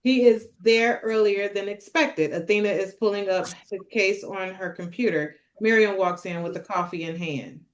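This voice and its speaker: A woman's voice: mid-range voice, moderate pace, few pauses. A woman narrates a drama script at a table read. She reads out stage directions in a mid-range voice, as scripted narration.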